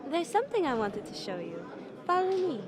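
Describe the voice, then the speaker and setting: A woman's voice: high-pitched, sing-song, a little fast, a crowd in the background. An actress playing a polite, friendly young female military analyst in an audio drama, with people heard behind her.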